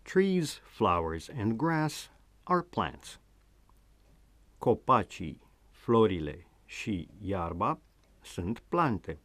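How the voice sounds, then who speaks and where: A man with a Romanian accent hosting a radio language lesson, reading words slowly and deliberately. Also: on the low side, very animated, fairly bright tone, no room sound. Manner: slow, deliberate word-by-word teaching. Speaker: language show host.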